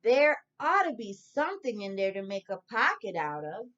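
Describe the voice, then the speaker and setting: A woman's voice: medium pitch, sing-song, normal speed, fairly bright tone, highs cut off. A woman voicing a small, curious and dreamy toy bear in a storybook. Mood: determined. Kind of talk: storybook character voice.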